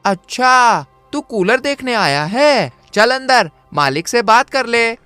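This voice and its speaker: A woman's voice: fairly high pitch, lively intonation, slow pace, a bit bright, smooth, no room sound, soft background music. A woman voicing a bossy male shop servant in a cartoon story. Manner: bossy, shooing.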